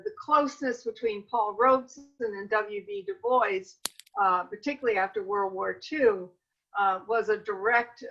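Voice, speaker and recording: A woman answering as a panelist in an academic discussion, measured, with halting restarts. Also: medium pitch, a bit bright, lo-fi sound.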